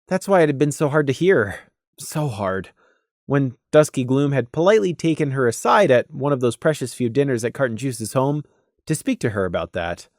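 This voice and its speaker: A narrator reading a scripted story aloud in an expressive, dramatic way with deliberate, emphatic pauses. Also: mid-range voice, no echo, studio quality. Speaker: audiobook narrator.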